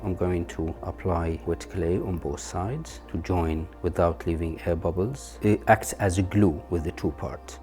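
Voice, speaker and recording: A man's voice: low-pitched, measured pace, hardly any pauses, music in the background. A man from Syria with a Syrian Arabic accent, a native Arabic speaker, explaining craft as a sculptor in plain steps with slightly non-native grammar. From Aleppo, Syria. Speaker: sculptor and archaeologist. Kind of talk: explaining craft, documentary narration.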